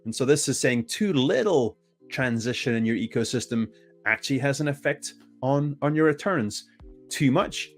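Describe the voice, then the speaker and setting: A man's voice: medium pitch, normal speed, soft background music. A man whose first language is English, giving a conference presentation with energy and often restarting his phrases. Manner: energetic, self-correcting, frequent restarts. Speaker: conference speaker.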